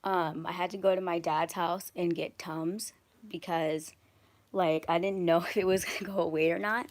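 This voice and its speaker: A young woman vlogging at home with a hoarse, raspy voice, chatting casually with lots of ums and swearing while holding her phone at arm's length. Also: lowish voice, fairly even intonation, normal speed. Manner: chatty, frequent ums and likes, swears freely. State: hoarse from acid reflux. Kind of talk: casual vlog rant. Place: large home kitchen and rooms, indoors. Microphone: phone camera held at arm's length, walking around.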